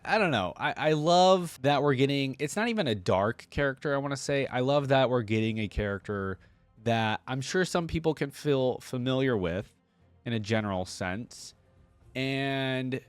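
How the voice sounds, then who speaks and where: A man's voice: mid-range voice, no room sound. A man giving casual reaction commentary as a video host, rambling conversationally and often checking in with the listener.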